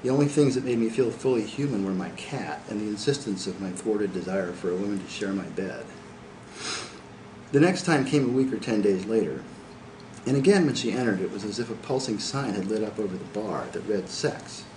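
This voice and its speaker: A man's voice: on the low side, lively intonation, fairly quick, mellow. Man, author reading prose, widely swinging intonation, far from the mic, echo, faint noise. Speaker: author reading own work. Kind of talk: animated first-person fiction reading. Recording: distant mic, echoey room, faint noise.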